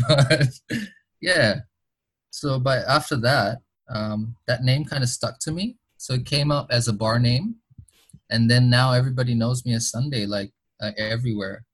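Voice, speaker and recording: A young man with an American accent, born in Bangladesh, chatting as an interview guest in a relaxed, rambling way and laughing often. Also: mid-range voice, moderate pace. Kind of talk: casual interview chat.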